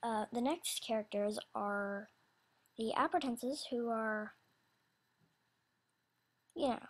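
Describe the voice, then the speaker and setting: Female creator, higher-pitched but breathy, casual and scatterbrained, halting delivery for an off-the-cuff audition announcement. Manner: halting, scatterbrained, self-interrupting. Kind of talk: casual unscripted rambling announcement.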